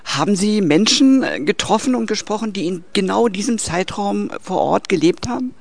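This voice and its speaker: A man conducts an interview and puts measured, polite questions to his guest. His voice sits high for a man. A gravelly rasp runs through it. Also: expressive, dry recording.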